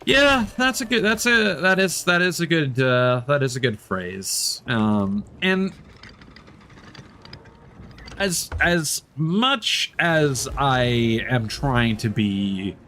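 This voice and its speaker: A man chatting casually as he livestreams a game, candid and reflective, in a halting, rambling way that often doubles back to correct itself. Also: mid-range voice, big pitch swings, sharp tone. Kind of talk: casual streamer chat while gaming.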